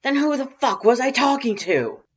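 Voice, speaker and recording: A reader voicing a loud, domineering skeleton younger brother, gravelly and irritated, barking curses in an audiobook-style story. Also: sits high, lively intonation, moderate pace, voice pushed up. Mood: irritated, yelling. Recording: dull lo-fi recording.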